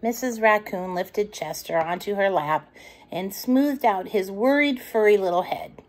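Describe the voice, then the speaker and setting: A woman reading a picture book aloud to young children, gentle and expressive. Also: mid-range voice, a little slow. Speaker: children's storytime reader.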